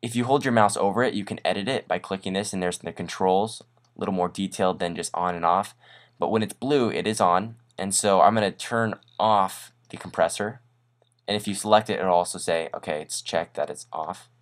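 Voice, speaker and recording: A man with a fairly low voice narrates a screencast tutorial. He talks informally and casually, like a host walking a viewer through software, with an upbeat and self-deprecating manner. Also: a little fast. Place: voice-over on a screen recording.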